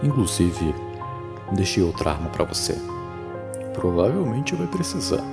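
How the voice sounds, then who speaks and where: Man voicing a grave, sardonic dead father's ghost, creaky. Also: deep voice, very animated, a little slow, loud music behind the voice, heavily acted. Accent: Brazilian. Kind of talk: horror audio drama acting.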